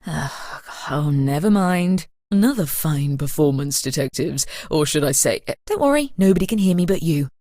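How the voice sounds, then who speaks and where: Sly, low-voiced sinister narrator. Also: female voice, expressive, moderate pace, hardly any pauses, dark tone, dry recording. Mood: sly, teasing. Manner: arch, teasing, conspiratorial. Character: sly, sinister disembodied narrator, murderous conscience. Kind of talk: video game character voice acting.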